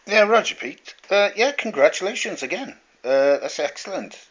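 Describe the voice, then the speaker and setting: A man's voice: high voice, animated, moderate pace, heavy background noise. A man in a casual chat as an amateur radio operator, heard over a two-way radio. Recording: dull, lo-fi two-way radio audio.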